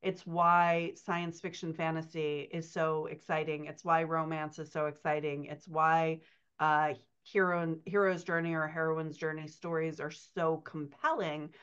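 Dull video-call sound, low female voice, middle-aged, emphatic explainer, podcast Q&A. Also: level tone, unhurried, fairly bright tone, smooth. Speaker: writing coach answering questions.

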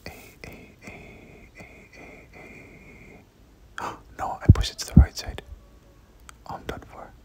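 Whispering ASMR gamer, breathy and unvoiced, prone to looping short phrases while narrating play. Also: slow, long pauses. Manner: repeats words and short phrases over and over. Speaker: gaming video host. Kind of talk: whispered ASMR game commentary.

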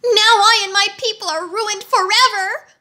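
A sniveling wheeler creature from a storybook, voiced by a woman reader, whining and pleading in a high, thin voice. His boasts collapse into tearful begging. The tone is bright and sharp. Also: animated, moderate pace, full character voice. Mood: sobbing. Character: whining, sobbing male wheeler creature, boastful but cowardly.

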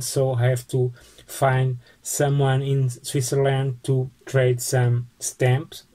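Slow, mellow man with a Portuguese accent. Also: mid-range voice. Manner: slow, halting, with frequent restarts. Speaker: postcard collecting vlogger. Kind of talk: casual show-and-tell vlog. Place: home study lined with bookshelves.

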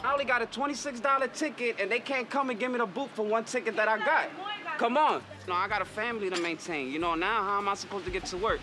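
An angry car owner shouting and cursing at parking officers on a city street. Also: male voice, high voice, fast, a crowd in the background.

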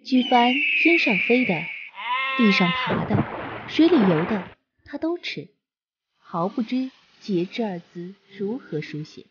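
A woman narrating a fantasy audiobook in a soft, measured storytelling manner. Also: fairly high pitch, big pitch swings, highs cut off, very noisy. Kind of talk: scripted narration. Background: background music.

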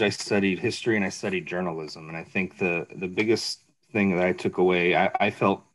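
A man with a deep voice speaks slowly and deliberately, taking long pauses as he chooses his words. His delivery is measured and somber. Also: low-quality recording. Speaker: journalist. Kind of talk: reflective spoken commentary.

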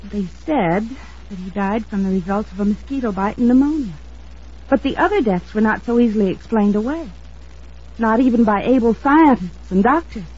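Anxious, husky-voiced young radio heroine. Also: female voice, fairly high pitch, normal speed, darkish tone. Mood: intent, explaining. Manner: earnest, dramatic, emphatic. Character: anxious, insistent young woman fearing for her fiancé. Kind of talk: old-time radio drama acting. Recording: dull lo-fi vintage radio recording.

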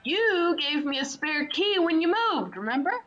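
A woman's voice: high voice, animated, moderate pace, lo-fi sound. A woman playing a caring but blunt sister in a radio play, teasing and insistent with her brother. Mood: teasing, scolding.

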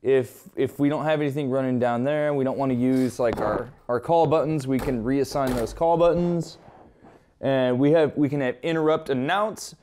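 A man's voice: medium pitch, normal speed, warm tone, a little hiss or hum. A man presenting a hands-on equipment tutorial, explaining step by step in an informal, conversational way.

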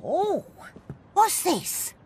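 An actress playing a bossy old landlady in a cartoon, with a gravelly voice and a brash, pushy manner. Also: very high voice, sing-song, extremely slow, darkish tone, soft background music, full character voice. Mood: gleeful. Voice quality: creaky, gravelly. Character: bossy, grumpy old landlady with girlish glee. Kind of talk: exaggerated animated-cartoon acting.

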